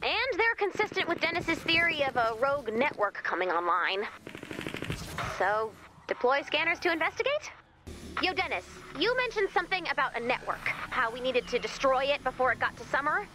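An actress playing a brisk, wry, easily exasperated woman in command of a base in an animated video game, with dry sardonic delivery. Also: high voice, background noise. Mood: businesslike. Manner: dry, sardonic, hesitant when flustered.

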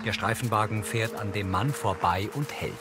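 A man's voice: fairly low pitch, normal speed, loud music behind the voice. A man reading scripted audio description narration for a television drama.

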